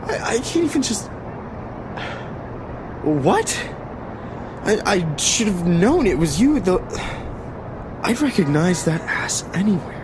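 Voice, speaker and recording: A man playing a suspicious, exasperated police officer in an audio drama, incredulous and scolding in a halting way. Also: sits high, very animated, noisy background, exaggerated voice. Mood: hurt, exasperated.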